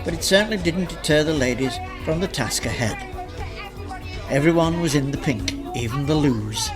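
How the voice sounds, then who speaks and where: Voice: medium pitch, lively intonation, moderate pace, over a noisy crowd. A narrator reading a scripted community newsreel. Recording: music behind the voice.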